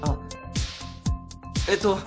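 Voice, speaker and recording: A man's voice: extremely slow, loud music behind the voice. A man playing a middle-aged civil servant boss in a comic radio drama, chatty, easily flustered and ingratiating. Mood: nervous, fawning.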